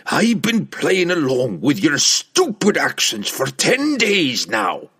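A man voicing a gruff, angry old dwarf king. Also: a little high, huge pitch swings, measured pace, a bit bright, pitched above the performer's own voice, full character voice. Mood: furious. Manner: blunt, mocking, rustic dialect. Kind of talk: fantasy audiobook character voice.

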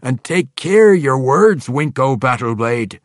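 A man voicing a grizzled old male dwarf veteran, suspicious and accusing, with a gruff brogue. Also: medium pitch, lively intonation, slow, no room sound, studio quality, pitched above the performer's own voice.

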